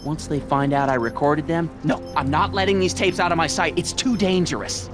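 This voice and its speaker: A man's voice: fairly high pitch, fairly quick, over music, close to an everyday voice. An actor playing a meek, middle-aged American small-town father in a video game, earnest, folksy and prim. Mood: timid, cautious. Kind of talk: animated video game dialogue acting.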